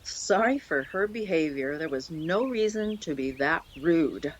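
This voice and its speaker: Woman voicing a kindly, slow-spoken mouse. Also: deep voice, animated, breathy edge, heavily acted. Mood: reassuring. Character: kindly female adult mouse, welcoming but firm. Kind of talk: audio drama acting. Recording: faint outdoor ambience with birdsong.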